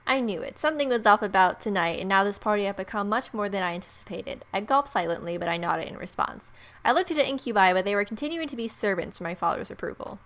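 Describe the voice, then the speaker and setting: Woman narrating a visual novel, medium pitch. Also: expressive, fast, fairly bright tone. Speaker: gaming video host reading a visual novel. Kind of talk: story narration with playful asides.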